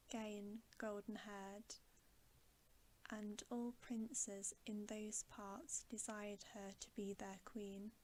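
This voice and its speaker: A woman reading a bedtime story for ASMR in a soft, gentle, breathy voice that often drops to a whisper. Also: medium pitch, slight background noise, rough recording. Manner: hushed, unhurried, gentle. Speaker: ASMR reader.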